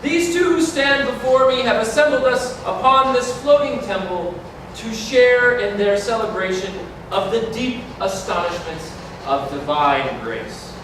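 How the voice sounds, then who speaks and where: Man playing a high-pitched, incantatory wedding officiant. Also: expressive, measured pace. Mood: exalted, ceremonial. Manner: solemn, incantatory, declamatory. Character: exalted male officiant of a mystical wedding ceremony. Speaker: actor in a staged reading. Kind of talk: ceremonial theatrical verse recital. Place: large community hall, staged reading. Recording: echoey hall from far off, noisy.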